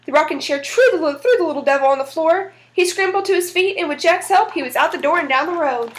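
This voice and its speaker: A woman's voice: sits high, quick pace. A woman narrating a folktale aloud in a folksy, dramatic storytelling manner.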